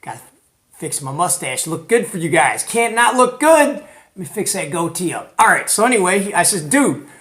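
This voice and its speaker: An American man hosting a hobby video in a casual, animated, colloquial way, retelling conversations as he goes. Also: high-pitched, moderate pace. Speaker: host of a CB radio show. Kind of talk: casual hobby vlog presenting.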